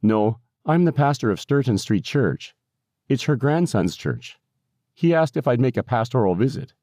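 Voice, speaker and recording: A man's voice: medium pitch, lively intonation, normal speed, warm tone, no room sound, studio quality, pitched above the performer's own voice, close to an everyday voice. A man voicing an awkward, polite young pastor in an audiobook, courteous and hesitant.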